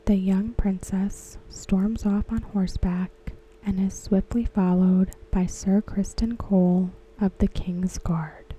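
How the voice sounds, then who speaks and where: A woman speaking in a soft unvoiced whisper for an ASMR recap, slow and even throughout. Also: medium pitch, low-quality recording. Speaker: ASMR creator.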